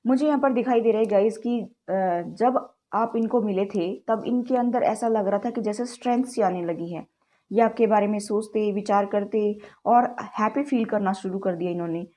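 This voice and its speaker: Woman, tarot reader, chatty direct-to-camera style, warm tone, sore throat. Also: medium pitch, normal speed. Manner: chatty, keeps addressing viewers as "guys"; mixes English words into Hindi.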